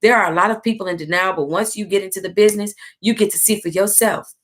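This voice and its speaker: A woman with a mid-pitched voice reads aloud from a book. Her delivery is expressive and informal, like a book club host who moves easily between the written text and her own casual remarks. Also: fast. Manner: expressive, informal, breaks into amused asides. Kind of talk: reading aloud with casual reactions.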